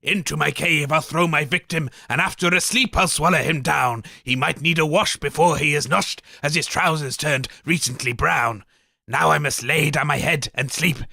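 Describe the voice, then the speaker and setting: A man's voice: a little high, moderate pace, creaky, pushed and bright, dry recording, clean studio sound, heavily acted. A man voicing a gruesome, man-eating cave monster in rhyming verse. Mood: gloating. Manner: rhyming villainous recitation. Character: hungry male guzzard monster, gruesome.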